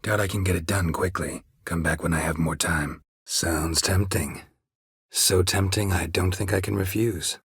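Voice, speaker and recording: An actor playing a stoic, sardonic monster hunter for hire in a video game, speaking tersely and deadpan in a gravelly, husky voice. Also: male voice, low-pitched, unhurried, no room sound, studio quality, full character voice. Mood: matter-of-fact. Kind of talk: video game character dialogue.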